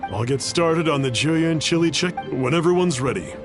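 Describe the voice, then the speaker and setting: A man's voice: a little high, animated, normal speed. An actor playing a helpful, polite townsman who cooks, plain and obliging in video game dialogue. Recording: game music behind the voice.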